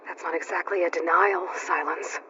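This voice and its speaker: A woman's voice: on the low side, steady tone, normal speed, pushed and bright, highs cut off, slight background noise. An actress playing a determined, distrustful young woman adventurer in a video game, with a wry, pointed, skeptical delivery. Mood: skeptical, pressing.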